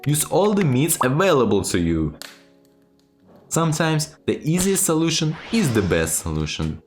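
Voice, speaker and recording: A man's voice: on the high side, wildly swooping pitch, moderate pace, soft background music. A man narrating a tongue-in-cheek video tutorial in a playful, mock-serious, emphatic way. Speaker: video creator giving sarcastic game-making tips.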